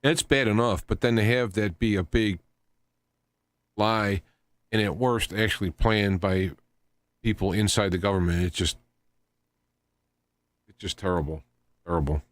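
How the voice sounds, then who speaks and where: A man hosting a radio talk show, giving an unscripted commentary in a halting, rambling way, often repeating and restarting his phrases. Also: fairly low pitch, no room sound. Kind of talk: unscripted news commentary monologue.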